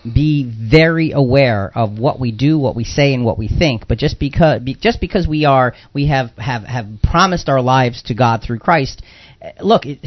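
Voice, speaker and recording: A man's voice: medium pitch, no room sound. Male radio host, dry and slightly dull sound. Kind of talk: conversational Bible discussion on a live radio show.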